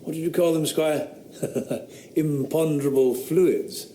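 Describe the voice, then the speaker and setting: A wry, mocking philosopher. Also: male voice, a little high, takes it slowly, echoey room, noisy background. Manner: dry, teasing, sardonic. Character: witty, mocking man, a London philosopher. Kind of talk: radio drama acting.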